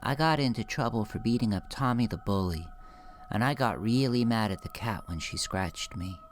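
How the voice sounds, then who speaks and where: A man's voice: on the low side, lively intonation, normal speed, a little hiss or hum, pitched above the performer's own voice, close to an everyday voice. A man voicing a small, earnest, stubborn young girl in a horror audio drama, with a plain, childlike manner. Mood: frank, solemn.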